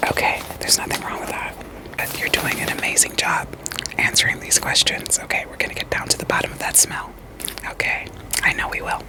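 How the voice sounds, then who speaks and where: A woman playing a calm, reassuring therapist in an ASMR roleplay, whispering softly and breathily with gentle, deliberate questions. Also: natural voice, poor audio. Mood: gentle, probing. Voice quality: soft, breathy, mostly unvoiced whisper. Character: calm, reassuring female therapist screening patients for werewolves.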